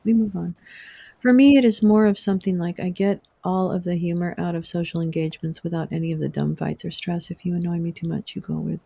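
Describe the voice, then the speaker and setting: A young woman hosting a casual livestream, chatting with viewers, swearing and laughing often, her voice wearing hoarse. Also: on the low side, fairly even intonation, a little fast, dark tone. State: losing her voice. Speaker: livestream host. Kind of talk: casual livestream chat reading viewer comments.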